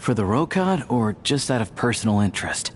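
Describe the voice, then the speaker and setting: A man playing a grave, cautious man in a video game, speaking in a serious and measured way. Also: mid-range voice, sing-song, soft background music, natural voice. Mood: quiet, questioning.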